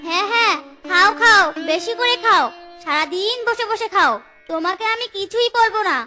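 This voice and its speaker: A nagging cartoon fox wife with an extremely high voice. She whines and pities herself with heavy sarcasm. Music runs behind the voice. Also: normal speed, pushed and bright. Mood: sarcastic, wailing. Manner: whining, sarcastic. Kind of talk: children's cartoon voice acting.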